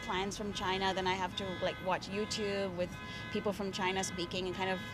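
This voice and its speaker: A woman's voice: mid-range voice, level tone, brisk, music in the background. A middle-aged woman from India who speaks English with an American accent, answering interview questions as an interpreter in fluent, well-ordered points. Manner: fluent, explains in numbered points. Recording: street traffic noise. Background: passing vehicles.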